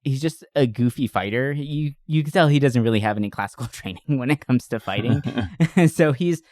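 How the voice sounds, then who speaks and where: A man's voice: mid-range voice, a little fast, a little mellow, no room sound, studio quality. A man co-hosting a podcast in an enthusiastic, informal chat, swearing casually. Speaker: podcast co-host. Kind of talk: casual chat about movies.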